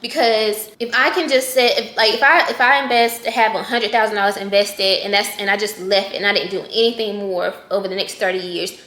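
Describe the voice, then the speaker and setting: A woman's voice: mid-range voice, steady tone, brisk, hardly any pauses, fairly bright tone, roomy sound. A woman in her thirties casually chatting with her viewers, correcting herself as she goes and talking straight to them. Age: 30s. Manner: conversational, self-correcting, talks straight to viewers. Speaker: personal finance vlogger.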